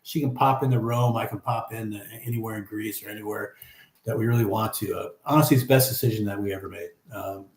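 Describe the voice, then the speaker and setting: A man in his fifties hosting a relaxed live chat, reading viewer comments in an easygoing, chatty way. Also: lowish voice, quick pace. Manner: easygoing, chatty, many fillers. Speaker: livestream host. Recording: echoey room.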